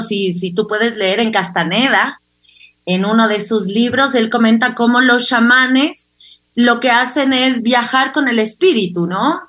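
Female interview guest, bright and fairly high voice, conversational storyteller. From Ecuador. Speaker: interview guest, clinical psychologist.

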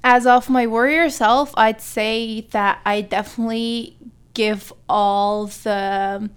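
Young woman from egypt, university student, smooth clear voice, flat even intonation, earnest. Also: a little high. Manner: earnest, quick bursts between hesitations. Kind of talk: guest self-introduction.

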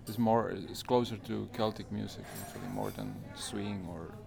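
A man's voice: lowish voice, moderate pace, gravelly, crowd noise. A man, the band's guitarist, answering interview questions while searching for words. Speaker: guitarist in a band.

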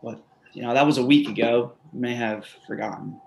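A man playing a quiet, simple middle-aged man who is patient and reassuring in a play reading. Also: medium pitch, fairly quick, gravelly, a little hiss or hum, natural voice. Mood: explaining gently. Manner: gentle, reassuring.

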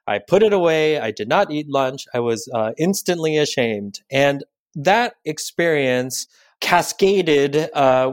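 A man's voice: medium pitch, no echo, studio quality. A man whose first language is Korean, a podcast guest speaking thoughtfully and telling a personal story. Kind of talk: reflective conversational explanation.

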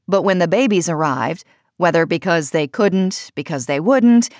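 A woman reading a personal essay as audiobook narration, in measured, expressive phrasing. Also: fairly low pitch, no room sound, clean studio sound.

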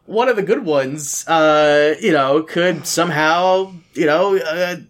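Male podcast co-host from Brazil, American accent, relaxed and easygoing. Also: a little high, slow pace. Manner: laid-back, shrugging. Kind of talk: casual review chat.

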